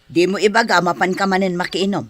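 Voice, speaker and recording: A woman's voice: on the low side, fairly even intonation, fast, soft background music, lightly acted. An actress playing an exasperated farm wife and mother in a Philippine radio drama, scolding and pleading with her husband.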